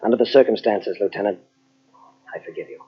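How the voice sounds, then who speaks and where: An actor playing a grave, earnest psychologist in an old-style radio mystery, husky and deliberate, with dramatic pauses. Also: male voice, fairly low pitch, fairly even intonation. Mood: gentle, reflective. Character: earnest, grave male psychologist confronting a killer. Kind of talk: 1940s radio mystery acting. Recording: dull lo-fi old radio tape.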